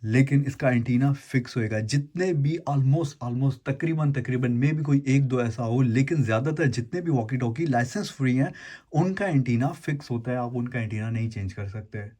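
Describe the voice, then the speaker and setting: A man's voice: mid-range voice, moderate pace, warm tone. A man hosting a casual, chatty gadget unboxing and explainer, informally mixing English words into his talk. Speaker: YouTube host unboxing and reviewing gadgets.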